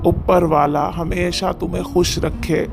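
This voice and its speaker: A man voicing a weary old male beggar in a cartoon story, speaking slowly and softly. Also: high-pitched, level tone, creaky. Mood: grateful. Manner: slow, soft-spoken and pleading. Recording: cartoon voice-over with music.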